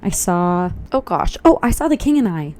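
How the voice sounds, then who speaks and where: A young woman chats to her camera, upbeat and thinking aloud as she goes. Her voice is mellow and sounds a little tired. Faint background noise sits under it. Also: fairly low pitch, very animated, normal speed. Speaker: vlogger. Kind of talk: casual vlog chat. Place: walking outdoors on a loud city street.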